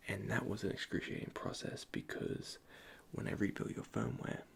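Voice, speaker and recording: A man playing a nervous, caring android repair technician in an ASMR roleplay, speaking in a soft, breathy half-whisper with hesitant stammers. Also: on the low side, steady tone, moderate pace, exaggerated voice. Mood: gentle explaining.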